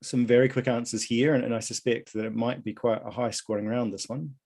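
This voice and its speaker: A man hosting a live online quiz, reading out questions and answer options in a measured way. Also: medium pitch, steady tone, vocal fry, darkish tone, slightly rough. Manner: reads options aloud in a measured list. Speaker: quiz host at an online conference.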